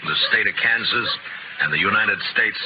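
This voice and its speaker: An actor playing a stern, determined frontier marshal with a terse, authoritative manner, in a 1950s radio western. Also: male voice, on the low side, moderate pace, very noisy, lightly acted. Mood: official, firm. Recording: muffled old radio recording.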